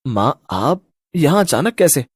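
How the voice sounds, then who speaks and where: A woman's voice: low voice, sing-song, breathy edge, no room sound, pitched below the performer's own voice, full character voice. A woman voicing a dazed, confused young husband and son in a storybook tale. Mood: surprised. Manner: halting, baffled. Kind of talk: dramatized storybook voice.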